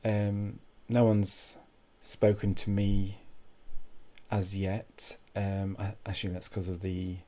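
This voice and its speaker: A man telling a comic first-person story in an awkward, deadpan way, halting and repeating his phrases. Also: fairly low pitch. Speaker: comic monologue host.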